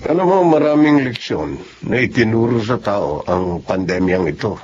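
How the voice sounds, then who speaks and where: A man's voice: lowish voice, sing-song, normal speed, darkish tone, muffled recording, slight background noise. An actor in a Filipino radio drama playing a caring, reflective doctor who is a friend of his patient. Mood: friendly, reflective.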